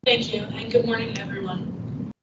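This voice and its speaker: A teenage girl reading a prepared report as a student representative at a board meeting, in a steady, even way. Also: on the high side, normal speed. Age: teens. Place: large meeting room. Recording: echoey, distant, dull, noisy meeting stream.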